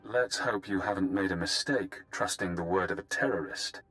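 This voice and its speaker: An actor playing a cold, calculating spy handler in a video game, speaking in a clinical, measured, detached way over music. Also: male voice, sits low, pushed and bright, smooth. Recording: music under the voice.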